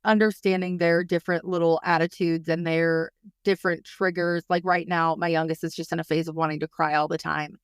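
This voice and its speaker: A woman in her thirties hosting a parenting podcast, chatting casually with animated, slangy storytelling. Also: on the low side, brisk, clear, resonant tone, dry recording, studio quality. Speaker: podcast host.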